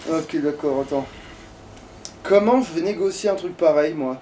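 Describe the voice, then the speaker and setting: A man's voice: fairly high pitch, normal speed, mellow, a little hiss or hum. A man giving casual, sweary, grumbling commentary while playing a video game with a friend. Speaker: let's player, at the controls. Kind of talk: casual gameplay commentary with a co-player.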